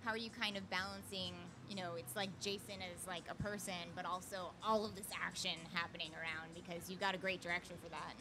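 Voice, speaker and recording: A woman's voice: lowish voice, fairly even intonation, fast talker, few pauses, music in the background. A woman co-interviewing in casual, bantering conversational style. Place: fan convention event. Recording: clearly noisy live event ambience.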